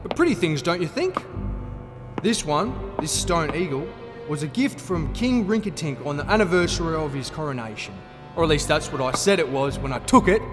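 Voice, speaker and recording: A man's voice: fairly high pitch, lightly acted. Man playing showy, menacing gnome king, cavernous echo. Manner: theatrical, mock-polite, toying, swings from chatty to grand. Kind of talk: full-cast fantasy audio drama acting. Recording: echoey reverberant hall, music underneath.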